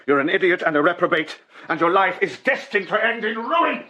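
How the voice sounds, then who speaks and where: A man's voice: high-pitched, animated, brisk, sharp tone, muffled recording. An actor playing an irascible, scornful English father in a period radio drama, blunt and withering. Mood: furious, scornful.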